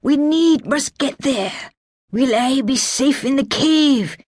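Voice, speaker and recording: A narrator voicing a wounded old laird who cries out in a high, slow, strained voice. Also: darkish tone, no echo, studio quality. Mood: feverish urgent. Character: wounded old male Highland laird, feverish.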